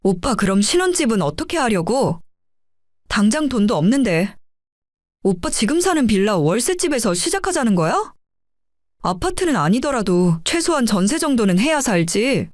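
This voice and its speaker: A woman's voice: a little high, big pitch swings, moderate pace, no echo, clean studio sound. A woman playing a shy young bride-to-be who questions and pleads with her boyfriend. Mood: worried. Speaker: narrator's younger self in dialogue. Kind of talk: audio drama acting.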